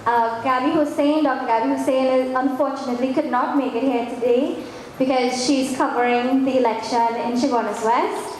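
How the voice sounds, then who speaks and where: A woman's voice: high-pitched, steady tone, moderate pace, a little mellow. A woman giving a welcome address as a representative at a public event. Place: large echoey room at a public event. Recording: very echoey hall, faint background noise.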